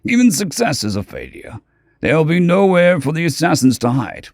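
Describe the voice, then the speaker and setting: A man's voice: on the high side, big pitch swings, normal speed, gravelly, darkish tone, no room sound, studio quality, pitched above the performer's own voice. A man voicing a stern alien fixer. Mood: urgent. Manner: stern, lecturing. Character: alien male tavern fixer, wary and blunt.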